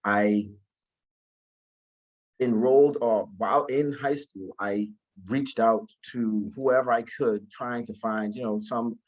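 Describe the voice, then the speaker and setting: A man, giving an informal talk about his own story in a polite, humble way, often halting and repeating words. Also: medium pitch, steady tone, dull audio. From Bahamas. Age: 20s. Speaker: guest speaker, alumnus.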